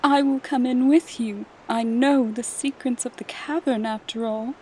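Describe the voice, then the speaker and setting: A woman playing a soft, pleading young medieval maiden who speaks in a demure, formal, archaic way in a comic dub. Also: fairly high pitch, steady tone, a little slow, a little hiss or hum, lightly acted, rough recording. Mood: urgent, wary.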